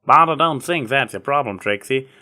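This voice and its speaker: A man acts out a plain-spoken country mare from a storybook, pitched up from his natural voice. She is folksy and frank, earnest and protective, with a reassuring way of talking. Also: animated, moderate pace, sharp tone. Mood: thoughtful, reassuring.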